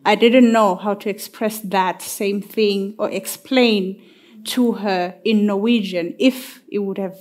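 A woman whose first language is not English answers a question on a panel. She talks slowly and thoughtfully, in a mid-pitched voice. Her manner is personal and reflective. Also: steady tone, darkish tone. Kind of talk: panel discussion.

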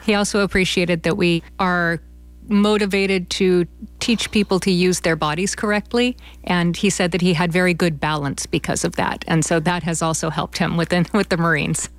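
Woman, theater company member in interview. Lower-pitched, conversational delivery, dry recording. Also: fairly even intonation, moderate pace, no room sound.

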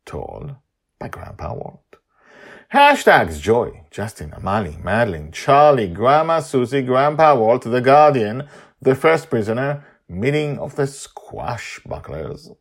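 A man hosting a story podcast, narrating in an animated, theatrical and emphatic way. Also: mid-range voice, slow pace.

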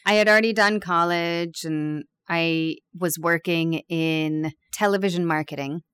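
Woman in her thirties, low smooth voice, candid interview guest with dry self-mocking humor. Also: steady tone, measured pace, fairly bright tone. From born in Peru, raised abroad. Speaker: guest, bakery owner. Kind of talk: casual interview chat.

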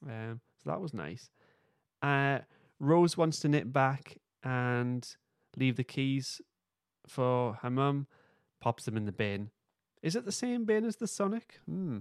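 A man's voice: mid-range voice, animated, takes it slowly, no echo, studio quality. A man co-hosting a podcast, loosely and a little hesitantly recapping a story in casual chat. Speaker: podcast co-host.

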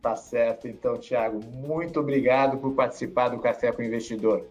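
A man's voice: mid-range voice, steady tone, fairly quick, soft background music. A man hosting a podcast interview, asking short, prompting questions. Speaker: podcast host.

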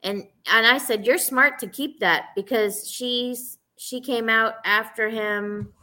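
Bright, forceful woman telling a story. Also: a little high, takes it slowly. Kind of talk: casual conversational storytelling.

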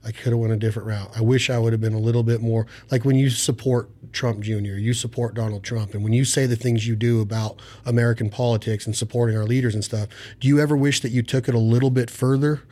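A man's voice: medium pitch, level tone, quick pace, hardly any pauses, mellow. A man hosting a podcast interview, asking long rambling questions with enthusiasm. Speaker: podcast host.

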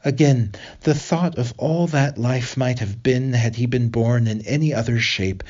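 A man reading a literary essay aloud with measured, deliberate phrasing. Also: medium pitch, a little mellow, highs cut off. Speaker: reader of an essay.

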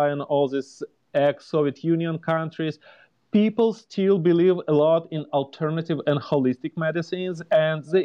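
A man in his forties from Ukraine with a Ukrainian accent, a guest answering interview questions in a halting way, searching for words. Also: on the high side, darkish tone, dull audio. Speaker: homeopath guest.

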